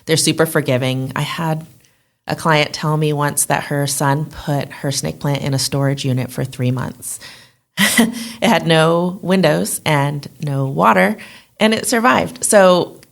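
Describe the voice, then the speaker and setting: A woman's voice: deep voice, unhurried, gravelly. A woman speaking casually and informally as an interview guest, in a chatty way. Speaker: guest, plant shop owner.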